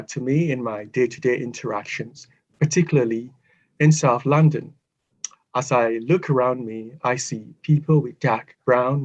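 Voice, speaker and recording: A man from Ghana giving a prepared online lecture in measured, formal phrases with pauses. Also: mid-range voice, a little mellow. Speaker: guest speaker, psychotherapist. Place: online video call. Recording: dull, lo-fi video call audio.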